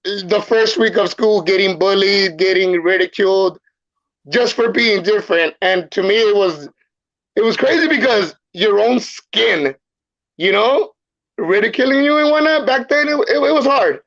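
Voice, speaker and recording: Gravelly high-voiced man, lo-fi sound. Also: normal speed, fairly bright tone. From El Salvador. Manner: street-slang, repeats phrases. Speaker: podcast guest. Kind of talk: casual storytelling. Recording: muffled lo-fi sound.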